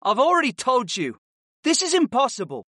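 Casting: arrogant rich boy student man performing, high-pitched, smug drawl, mocking, for fantasy audiobook. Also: huge pitch swings, moderate pace, a bit bright, husky, dry recording, clean studio sound, full character voice. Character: cocky, sneering pudgy rich boy student. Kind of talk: audiobook character acting.

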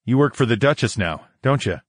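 A man voicing a proud, needling male doctor and alchemist in an audiobook, in a gruff, challenging manner. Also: mid-range voice, animated, a little fast, dry recording, clean studio sound, pitched above the performer's own voice, close to an everyday voice. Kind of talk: audiobook character acting.

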